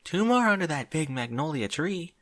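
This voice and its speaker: Man voicing high, timid female pegasus pony. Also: big pitch swings, normal speed. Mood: hesitant, gentle. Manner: soft-spoken, hesitant.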